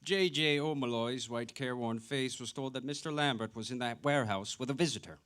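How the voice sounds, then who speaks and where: A man's voice: medium pitch, fairly quick, fairly bright tone. A man narrating literary fiction in a dramatic reading. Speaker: actor reading aloud.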